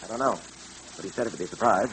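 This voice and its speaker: An actor playing a decisive police detective in an old radio drama, with a clipped, businesslike manner. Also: male voice, on the low side, very fast, fairly bright tone, lightly acted, low-quality recording. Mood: urgent. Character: decisive, brisk male police detective hero. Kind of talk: 1930s radio drama acting. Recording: hissy old radio recording.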